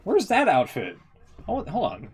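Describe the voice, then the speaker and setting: A man's voice: sits high, huge pitch swings, unhurried, faint music behind. A man giving casual, rambling game-streamer commentary, thinking aloud and swearing casually. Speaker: game streamer reacting to lore and cutscenes.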